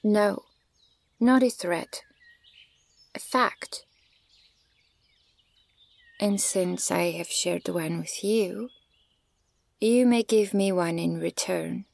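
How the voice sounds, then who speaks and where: A woman playing a stern, guarded, ancient elven archer in an ASMR fantasy roleplay, speaking in a measured, formal and breathy way with a creaky edge. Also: mid-range voice, dry recording. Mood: firm, questioning. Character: stern, guarded female elven archer, centuries old, scornful of humans.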